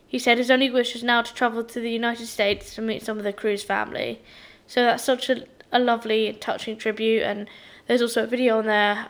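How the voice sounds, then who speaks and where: A young woman talks alone on her podcast in a casual, rambling way. Her voice is croaky because she is unwell, and it sits a little higher than most. She fills pauses with ums and restarts her sentences often. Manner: chatty, with many ums and restarts. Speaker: podcast host. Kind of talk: casual solo podcast monologue.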